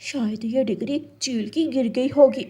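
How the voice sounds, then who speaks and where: Woman playing scheming cartoon crow lady, high, husky, smug and boastful. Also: unhurried, dark tone. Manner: self-satisfied, scheming. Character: sly, boastful female crow posing as doctor.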